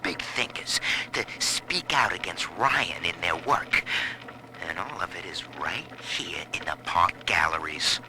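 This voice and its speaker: An actor playing a sneaky, jittery informant who gossips into an audio diary in a colloquial, sardonic way. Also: male voice, low-pitched, lively intonation, unhurried. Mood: sly, uneasy. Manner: colloquial, sardonic, wildly swooping. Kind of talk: dramatic audio-diary monologue. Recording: noisy old audio-log tape.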